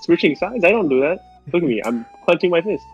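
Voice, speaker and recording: High-pitched, lively man ad-libbing. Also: fast talker, dull audio, faint music behind. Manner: jokey, rambling, repeats phrases. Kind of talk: improvised comedy fandub.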